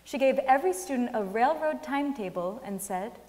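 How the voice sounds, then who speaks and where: Young female stage reader, fairly high voice, scripted dramatic delivery in a reverberant hall. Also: expressive, fairly quick, dark tone. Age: young adult. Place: large wooden concert hall stage.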